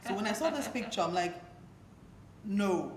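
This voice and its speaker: Echoey noisy room, woman, hoarse, lowish voice, talk show host, conversational interviewing. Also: animated, moderate pace. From Nigeria. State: hoarse, partly lost voice.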